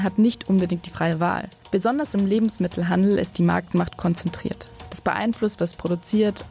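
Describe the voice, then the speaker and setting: A woman narrating a podcast in a measured, even, informative way from a script. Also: mid-range voice, vocal fry.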